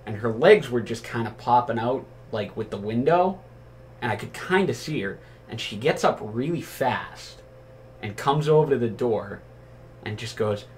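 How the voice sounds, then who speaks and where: A man's voice: medium pitch, lively intonation, normal speed, slight background noise. A man telling comic anecdotes casually and animatedly, acting out the dialogue and swearing crudely. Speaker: storyteller on a comedy chat show.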